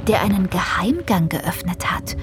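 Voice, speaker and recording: A woman's voice: on the low side, expressive, moderate pace. A woman narrating a children's audio drama in a scripted storybook style. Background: music.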